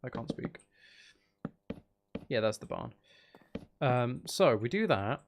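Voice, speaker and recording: A man streaming a game with casual, rambling commentary, thinking aloud as he plays. His medium-pitched voice has plenty of creak and fry. Faint noise and clicking sit behind him. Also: big pitch swings, takes it slowly. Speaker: gaming streamer. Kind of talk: casual live gameplay commentary.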